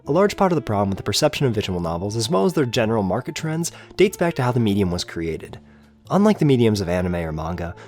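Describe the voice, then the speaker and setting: A man's voice: on the low side, darkish tone. A man reading a scripted, analytical video essay narration in a formal, articulate way with emphatic openings to his phrases.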